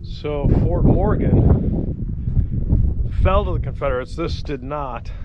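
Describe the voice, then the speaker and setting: A man's voice: a little high, slow. A middle-aged man giving an easygoing, casual walking tour commentary outdoors, thinking aloud as he goes. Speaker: hiking vlogger. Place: outdoors walking around an old fort. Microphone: 360 camera held at arm's length. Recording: windy outdoor camera, dull muffled sound.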